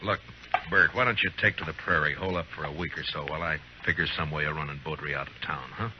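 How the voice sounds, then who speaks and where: An actor playing a stern, steady frontier marshal in an old-time radio western, firm and laconic. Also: male voice, rumbling low, quick pace. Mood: urgent. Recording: muffled, noisy vintage radio recording.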